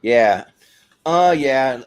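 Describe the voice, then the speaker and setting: A man's voice: medium pitch, animated, extremely slow, smooth. A man hosting an informal panel discussion, chatting casually. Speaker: host of a panel show.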